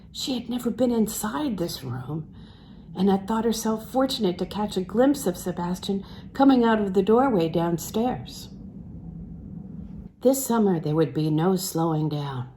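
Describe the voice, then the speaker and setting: A woman reading a literary novel aloud in a measured, phrase-by-phrase way, letting phrase endings fall soft and breathy. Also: mid-range voice, a little hiss or hum. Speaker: audiobook reader.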